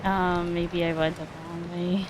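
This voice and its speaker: A woman's voice: lowish voice, little pitch movement, slow pace, noisy background. A woman giving casual, jokey commentary as she plays a game, chatting along with it. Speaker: streamer playing a game.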